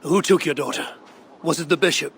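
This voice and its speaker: An actor playing a determined, self-assured Viking warrior in a video game, speaking in a terse, purposeful way. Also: male voice, a little high, fairly quick, a bit bright, slight background noise, natural voice. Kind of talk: video game character acting. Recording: music behind the voice.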